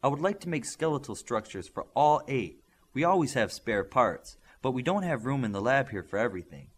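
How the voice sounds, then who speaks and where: Casting: male narrator as businesslike woman scientist, precise and measured, varied pitch, slightly rough tone. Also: big pitch swings. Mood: practical. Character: brisk, practical adult female scientist, quietly pleased.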